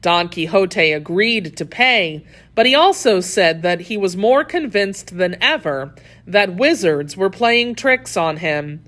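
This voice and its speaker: A woman reads a scripted children's story as its narrator. Her pace is slow and deliberate, and her pitch moves expressively through each sentence. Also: animated, fairly bright tone.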